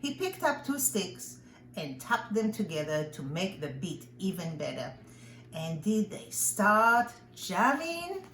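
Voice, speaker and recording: Woman, preschool teacher, slow storybook reading, expressive, echoey, faint background noise. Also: medium pitch. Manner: simple, expressive storytelling for young children. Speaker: pre-K teacher reading aloud. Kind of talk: children's storybook read-aloud.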